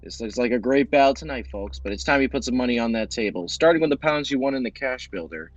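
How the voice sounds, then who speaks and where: Man as game show host, brisk announcer delivery, faint music underneath. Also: mid-range voice, expressive, no echo, dull audio.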